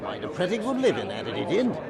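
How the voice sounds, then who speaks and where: Historical radio drama: chatty working-class spectator, very creaky voice, wry and folksy, crowd behind. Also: male voice, high-pitched, wildly swooping pitch, quick pace, heavily acted. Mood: matter-of-fact, wry. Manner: folksy, tag-ending remarks. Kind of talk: audio drama acting.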